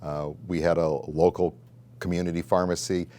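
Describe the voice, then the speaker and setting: American man, middle-aged, very deep pitch, measured storyteller, conversational interview delivery. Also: level tone, warm tone. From Southern Illinois, United States. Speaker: college dean and pharmacist.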